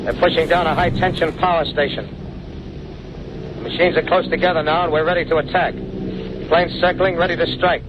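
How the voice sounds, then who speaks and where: A man's voice: on the high side, fairly even intonation, a little fast, long pauses, lightly acted. Grim bomber pilot, acted by a man, noisy old radio. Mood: tense, businesslike. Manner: clipped military radio reporting. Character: male army bomber pilot commander, grim, steadfast under attack. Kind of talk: 1930s radio drama acting. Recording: noisy, dull old 1930s radio recording, engine drone.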